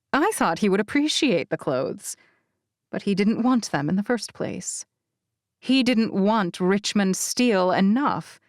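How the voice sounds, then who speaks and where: Expressive woman narrator, unhurried and lively. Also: mid-range voice, no room sound, clean studio sound. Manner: expressive, deliberate phrasing. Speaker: audiobook narrator. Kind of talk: scripted first-person novel narration.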